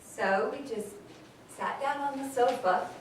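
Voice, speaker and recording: A woman's voice: high-pitched, measured pace. A woman playing a chatty mother in her sixties who corrects her husband, in a staged reading recorded from far off in an echoey hall. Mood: animated storytelling. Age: 60s. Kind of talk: staged reading, conversational monologue. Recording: echoey hall, distant mic, faint noise.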